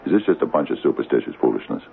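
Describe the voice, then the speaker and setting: Very deep, gravelly small-town mayor. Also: male voice, sing-song, full character voice. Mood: uneasy, humble. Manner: halting, stammering, earnest. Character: troubled small-town mayor, humble, self-doubting country man. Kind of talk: old-time radio drama acting. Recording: phone-like, noisy old radio recording.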